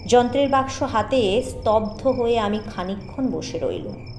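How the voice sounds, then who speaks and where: A woman narrating a ghost story in an expressive, deliberate way, with dramatic pauses. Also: on the high side. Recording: echoing voice over music bed.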